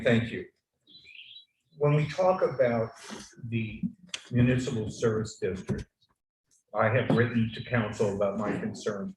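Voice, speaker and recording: Loud man in an echoey meeting hall. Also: medium pitch. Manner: loud, earnest, growing more forceful. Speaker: resident giving public comment. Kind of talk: formal public comment at a town hearing. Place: town meeting room. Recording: echoey hall, mic far off, faint noise.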